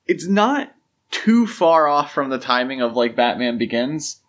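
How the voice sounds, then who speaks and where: A man's voice: mid-range voice, sing-song, unhurried. Man hosting a casual podcast. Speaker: podcast host. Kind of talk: casual film discussion podcast.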